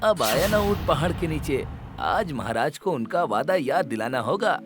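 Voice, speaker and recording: Sly, teasing cartoon courtier, raised voice, music under it. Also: male voice, fairly high pitch, sing-song, moderate pace. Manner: playful, teasing, persuasive. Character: witty clever male royal courtier. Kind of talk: animated storybook voice acting.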